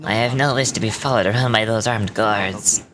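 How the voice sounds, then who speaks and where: An actor playing a modest, genial elderly official with a gentle, unhurried way of speaking. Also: male voice, fairly low pitch, natural voice, low-quality recording. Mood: courteous. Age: older. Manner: gentle, unhurried, self-effacing. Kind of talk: game voice acting. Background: game music.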